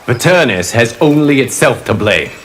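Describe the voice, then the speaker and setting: A solemn guardian deity, acted by a man, speaks measured, formal game dialogue. His mid-range voice is grave and wise, with clearly audible noise behind it. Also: medium pitch, expressive, natural voice. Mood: firm, grave. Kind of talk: video game character dialogue.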